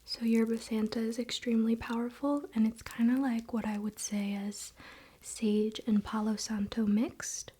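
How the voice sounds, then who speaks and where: A woman whispering softly in a soothing, relaxed and informal way, as an ASMR host. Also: a little high, moderate pace, slight background noise. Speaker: ASMR video host and spiritual practitioner. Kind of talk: soft-spoken whispered ASMR.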